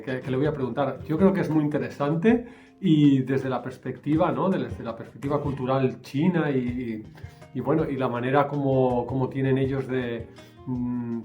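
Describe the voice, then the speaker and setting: Mellow encouraging male interview host. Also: mid-range voice, lo-fi sound. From Spain. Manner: encouraging, frequent fillers and backchannels. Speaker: Spanish teacher, interview host. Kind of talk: casual interview.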